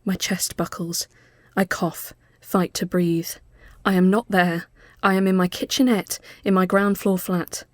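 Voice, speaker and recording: A woman reading a literary ghost story as scripted narration, measured and often hushed as phrases end. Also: lowish voice, flat delivery, no echo, studio quality.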